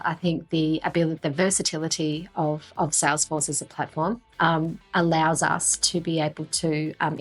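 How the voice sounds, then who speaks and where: A woman's voice: deep voice, breathy edge, rough recording. A woman doing a conversational business interview as a company founder, talking up a product. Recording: music behind the talk. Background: background music.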